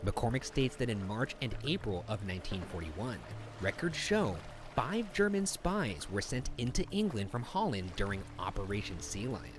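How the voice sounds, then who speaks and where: A man reading a scripted mystery narration in a measured, storytelling delivery. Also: on the low side, sing-song, background noise. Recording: narration over background music.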